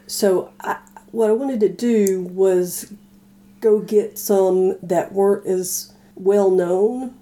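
A woman with a dark, very relaxed voice chats casually as a podcast co-host. She thinks aloud, feeling her way haltingly through her sentences. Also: medium pitch. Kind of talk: casual chat.

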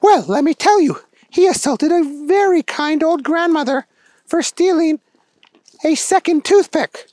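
A man's voice: very high voice, a little slow, a little mellow, no room sound, voice pushed up. A man voicing an officious, gushing female police chief in a children's story. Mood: indignant.

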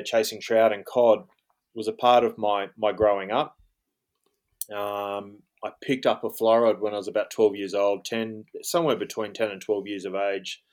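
This is an Australian man around forty with a fairly low voice. He keeps his pitch flat and even. He speaks casually as an interview guest and pads his speech with plenty of "um" and "you know". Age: 40s. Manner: rambling, lots of fillers like um and you know. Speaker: guest, fly fishing guide. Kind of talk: relaxed interview talk.

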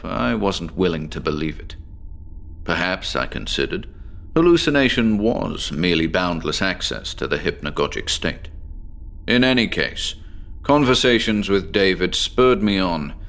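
A man playing an eccentric, sleepless professor who confides his obsession in a measured, articulate, formal way, as a horror audio drama monologue. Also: low voice, vocal fry, a bit bright, full character voice. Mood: intrigued, confiding. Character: eccentric male insomniac professor, obsessive, confiding, recounting strange experiments.

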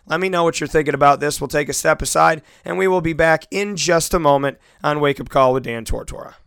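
A man hosting a sports talk radio show, his voice hoarse and scratchy from losing it, chatting casually with listeners. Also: a little high, fairly quick, fairly bright tone, dry recording, clean studio sound. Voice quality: scratchy, raspy. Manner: chatty, self-deprecating, addresses listeners as folks.

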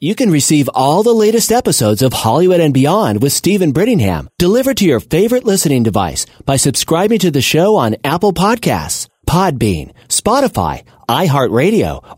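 A man's voice: on the high side, wildly swooping pitch, normal speed, hardly any pauses, darkish tone, husky, no echo, studio quality. A man reading a scripted podcast announcement.

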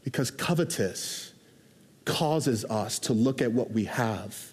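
A man preaches from a church pulpit, his voice sitting in a middle register. He talks conversationally, rushing through asides and slowing down to press key lines home. The sanctuary gives his voice a long, lingering reverb. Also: medium pitch, husky. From Houston, Texas. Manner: conversational and animated, shifting between quick asides and slow emphatic lines. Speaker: pastor. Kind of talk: sermon.

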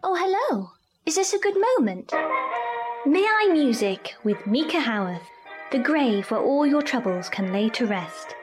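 A woman reads a playful radio promo for her show. Her voice is high and very lively in pitch, with music clearly behind it. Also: measured pace.

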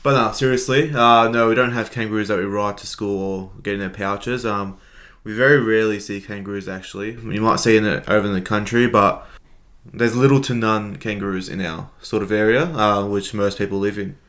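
A man's voice: fairly low pitch, fairly even intonation, a little fast, a bit bright. A young man from Melbourne with an Australian accent, chatting casually and dryly as a podcast co-host.

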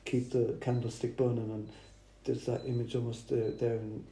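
A man, a church planter, talking over dinner in a thoughtful, halting way. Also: medium pitch, level tone, warm tone. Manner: halting, thoughtful, restarts phrases. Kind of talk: casual dinner table chat. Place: dining room. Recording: roomy with faint noise.

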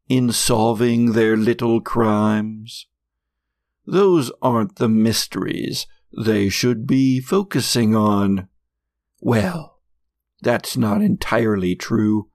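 A man voicing a sinister, patient lurking watcher in a slow, deliberate monologue for a horror audio game. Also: mid-range voice, a little mellow, no room sound, clean studio sound.

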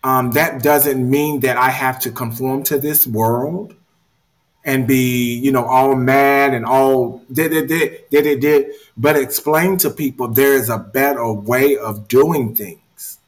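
An earnest man leading a relaxed motivational talk. He speaks with animation and often repeats words while he gathers his thoughts, at a middle pitch. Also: slow pace, rough recording. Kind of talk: casual motivational discussion.

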